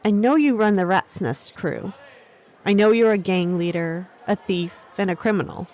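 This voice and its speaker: A woman's voice: mid-range voice, animated, normal speed, darkish tone, close to an everyday voice. A woman acting a desperate, earnest street girl of about thirteen in a fantasy audio drama. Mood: desperate, pleading. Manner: pleading, earnest.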